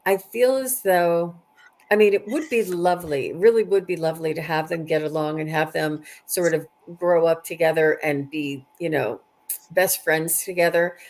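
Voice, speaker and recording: A woman hosting a live stream, chatting casually and giving readings to viewers, often halting and repeating phrases as she thinks aloud. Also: deep voice, a little mellow.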